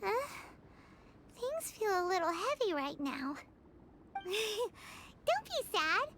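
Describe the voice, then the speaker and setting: A woman voicing a tiny floating female companion in a video game, chirpy and excitable, who speaks of herself in the third person. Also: very high-pitched, takes it slowly, background noise, heavily acted. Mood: cheeky. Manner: bouncy, exclaiming, talks of herself by name. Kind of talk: video game cutscene acting. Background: background music.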